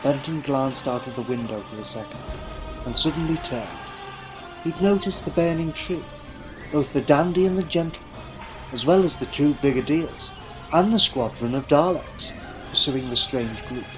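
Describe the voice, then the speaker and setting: Male narrator, mid-pitched, dramatic storybook read for sci-fi audio, music underneath. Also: moderate pace, low-quality recording.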